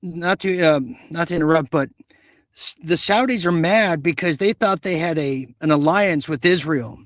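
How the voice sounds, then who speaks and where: A man hosting a late-night talk show in a casual, halting, musing way, his voice husky and starting to give out. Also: a little high, dry recording. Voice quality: husky, gravelly voice. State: losing his voice.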